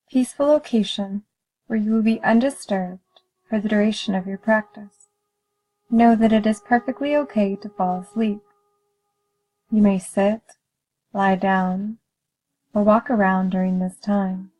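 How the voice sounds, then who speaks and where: A woman leading a guided meditation in a calm, soothing, measured manner with gentle pauses. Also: medium pitch, rough recording.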